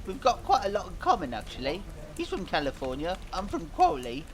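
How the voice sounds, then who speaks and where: A man's voice: sits high, huge pitch swings, brisk, gravelly, heavily acted, poor audio. A dim but earnest cartoon pop star, acted by a man, speaks. He rambles naively through interview answers, drifting vaguely from thought to thought. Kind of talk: mock interview fan dub.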